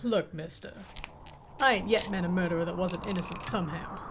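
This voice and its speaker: A woman's voice: on the low side, fairly quick, natural voice. Woman playing a tough, drawling frontier sheriff. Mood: commanding, scornful. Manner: plain-spoken, hard, folksy. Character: tough, blunt female Old West sheriff. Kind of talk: Western audio drama acting. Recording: muffled lo-fi with clear noise.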